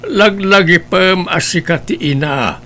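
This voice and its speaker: An actor playing an elderly grandfather in an audio drama, speaking in a measured, gently reproachful and admonishing way. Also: sits high, heavily acted. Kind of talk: Ilocano audio drama acting.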